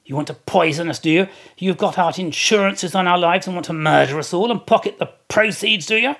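A man's voice: sits high, fairly quick, gravelly, a bit bright, voice pushed up, heavily acted. A man voicing a sarcastic, indignant second mate of a whaling ship in a theatrical, emphatic reading of a classic novel. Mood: blustering, scolding.

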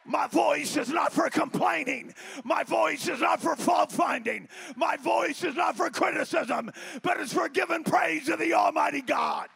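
High, gravelly man preaching at full shout. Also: unhurried, few pauses, faint music behind. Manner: shouted exhortation, urging the congregation to praise. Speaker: pastor. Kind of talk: fiery Pentecostal sermon. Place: church sanctuary.

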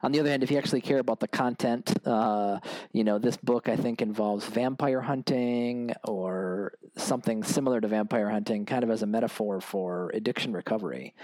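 A man hosting a casual solo podcast, his voice gravelly and nasal from allergies, chatty and relaxed with many fillers. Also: lowish voice, no room sound. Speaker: podcast host. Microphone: basic home microphone. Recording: dry, poor-quality home microphone.

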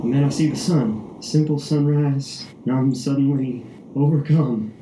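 An actor playing a driven, burnt-out investment banker, giving a poetic, intense confession as a radio-play monologue. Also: male voice, mid-range voice, steady tone, normal speed, lightly acted. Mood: weary, overwhelmed. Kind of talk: dramatic monologue in a 1940s-style radio play. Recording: crackling old-radio fuzz, roomy echo, music behind.

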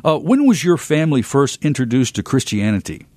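American radio host, dry studio sound. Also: male voice, mid-range voice, big pitch swings, a little fast, darkish tone, dry recording.

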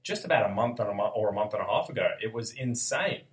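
Gravelly-voiced young Australian man chatting. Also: fairly low pitch, expressive, quick pace. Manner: chatty, laughs often, restarts mid-sentence. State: recovering from a cold, slightly gravelly. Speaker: podcast host. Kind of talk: casual sports chat.

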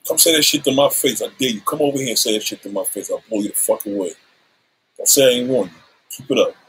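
A man's voice: mid-range voice, fast, a bit bright, slight background noise, poor audio. A man in his seventies ranting on a livestream, combative and profane, issuing threats and calling out rivals. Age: 70s.

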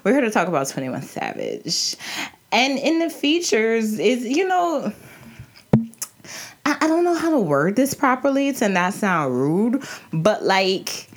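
A woman's voice: fairly high pitch, measured pace. A woman from the New Orleans area with a local accent, reviewing a rap album in a chatty, slang-filled solo podcast. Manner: chatty and animated, frequent restarts and slang.

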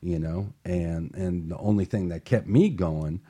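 Low, dark-voiced male host. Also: measured pace. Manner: earnest, passionate, repeats words when starting. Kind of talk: motivational talk show.

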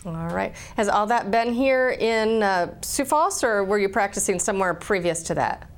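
A woman hosting a medical interview show, asking questions in a friendly, steady way. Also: mid-range voice, moderate pace. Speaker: TV health show host.

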